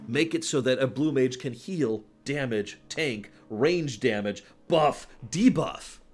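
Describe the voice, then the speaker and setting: A man's voice: mid-range voice, slow pace. A man hosting a livestream, chatting casually about video games in an emphatic, explanatory way, often checking that listeners follow. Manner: emphatic, explanatory, checks understanding with short tag questions. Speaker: livestream host.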